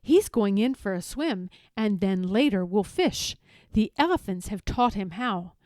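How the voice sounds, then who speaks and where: A woman reading a children's story aloud in an animated, theatrical, expressive way. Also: mid-range voice, measured pace, no echo, clean studio sound. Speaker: storybook reader.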